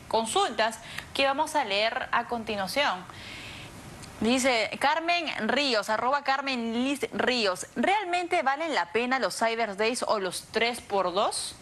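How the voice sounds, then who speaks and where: A woman's voice: fairly high pitch, fairly bright tone, slight background noise. A young woman with a Peruvian accent hosting a TV segment, asking questions and reading out viewer queries conversationally. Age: young adult. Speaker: TV news host.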